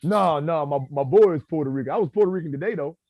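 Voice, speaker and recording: A man's voice: on the high side, quick pace, heavy background noise. A man chatting casually on a video call. He uses street slang and laughs easily. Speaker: table read cast member. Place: home in Brooklyn. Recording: dry, slightly dull call audio.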